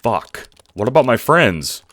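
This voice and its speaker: A man's voice: medium pitch, very animated, measured pace, noisy background. A man playing a casual, foul-mouthed young time-traveling guy in an audio drama, talking in hesitant slang. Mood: alarmed, confused.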